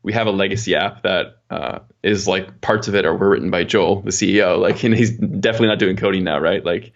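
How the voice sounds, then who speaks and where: A man's voice: deep voice, brisk, gravelly, highs cut off. A man chatting casually as an interview guest, explaining his work as an engineer in a rambling, informal way. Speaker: podcast guest, software engineer.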